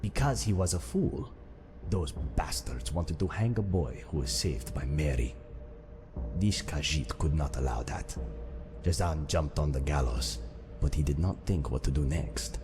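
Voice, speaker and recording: A man's voice: rumbling low, animated, a little slow, music in the background. A man voicing a wry, fatalistic imprisoned cat-man thief in a fantasy game, speaking of himself in the third person. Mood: wry, indignant. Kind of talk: fantasy game character dialogue.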